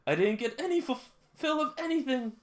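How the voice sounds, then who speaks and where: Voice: high voice, animated, brisk, voice pushed up. Someone voicing a flustered, stammering teenage boy. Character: flustered, timid teenage boy, awkward and apologetic.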